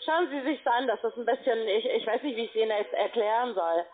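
Woman, call centre agent, very high voice, halting and flustered explanations, tinny narrow phone audio. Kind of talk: phone sales support call.

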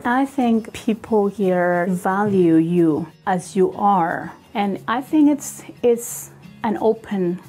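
A woman with a Venezuelan accent, a native Spanish speaker, giving a personal testimonial in earnest, halting English. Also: medium pitch, animated, warm tone, soft background music. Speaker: kindergarten Spanish teacher.

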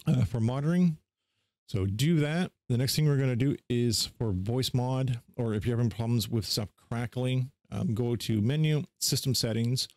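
A man casually talking through software setup steps in an informal, unscripted tutorial style. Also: medium pitch, dry recording. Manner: informal, instructional, step by step. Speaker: tutorial host. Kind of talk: casual unscripted screen-share software walkthrough.

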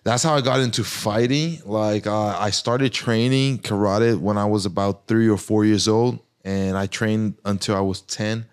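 A man speaks in a fairly low, clean, resonant voice. He rambles easily as a podcast guest, stringing thoughts together with lots of "like" and "you know". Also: moderate pace, few pauses, clear, resonant tone. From Brazil. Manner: loose and rambling, heavy use of "like". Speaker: guest fighter. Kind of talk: casual podcast chat.

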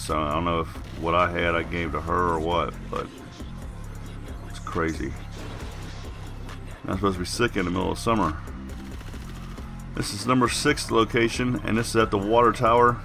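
A man's voice: sits low, creaky. A man giving casual, plain-spoken game walkthrough commentary as a video host while getting over a cold.

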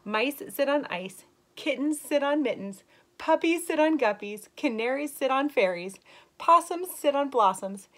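A woman voicing a thoughtful cat in a storybook, slowly reciting a long list of rhymes. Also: high-pitched, expressive, fairly bright tone, voice pushed up. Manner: slow, measured recitation.

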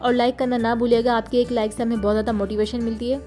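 A woman with a Pakistani Urdu accent, narrating a store-tour vlog and listing prices in a steady, informative voice. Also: fairly high pitch, fast. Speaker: shopping vlogger. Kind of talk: vlog voice-over. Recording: voice-over with faint music behind.

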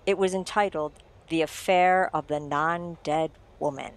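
A suave, theatrical mystery writer, acted by a woman holds the floor in a radio play. The voice sits somewhat low and moves deliberately, teasing out each detail like a storyteller. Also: fairly even intonation. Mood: dramatic storytelling. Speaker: audio drama actor. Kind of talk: old-time radio mystery narration.

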